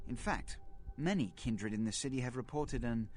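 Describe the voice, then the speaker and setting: An actor playing a cold, formal vampire leader who speaks with precise, condescending diction. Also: male voice, mid-range voice, expressive, normal speed, darkish tone, a little hiss or hum, close to an everyday voice. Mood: briefing. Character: cold, imperious vampire prince, smooth businessman.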